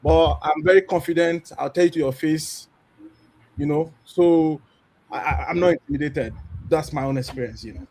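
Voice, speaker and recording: A Nigerian man in his thirties speaking on a church panel. He talks animatedly and acts out little exchanges as he tells his story. His voice sits a bit high for a man. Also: normal speed, a little mellow, poor audio. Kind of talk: candid testimony on a church panel.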